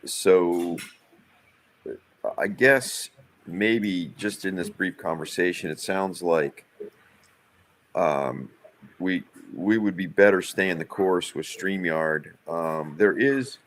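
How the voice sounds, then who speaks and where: A man talking casually in a business meeting about plans, hesitant and halting, often repeating the starts of words. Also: low-pitched, fairly bright tone, slight background noise. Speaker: live event producer planning a show.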